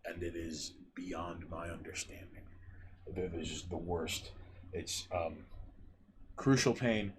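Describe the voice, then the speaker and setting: A man's voice: low voice, fairly even intonation, slow, long pauses, slight background noise. A man co-hosting a casual podcast, ranting with plenty of swearing and reading viewer names from a live chat. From New York. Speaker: podcast co-host.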